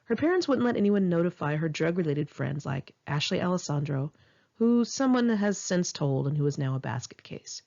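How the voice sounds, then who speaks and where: Female author narrating her own memoir audiobook; conversational, animated intonation, slightly lo-fi sound. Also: fairly low pitch, moderate pace, vocal fry. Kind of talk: first-person memoir narration, audiobook read in one take.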